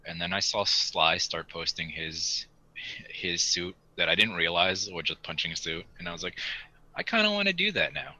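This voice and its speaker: A man's voice: sits low, very animated, normal speed, pushed and bright, low-quality recording. A man co-hosting a hobby podcast, chatting casually and enthusiastically in an informal way. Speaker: podcast co-host. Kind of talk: casual hobby chat about model kits.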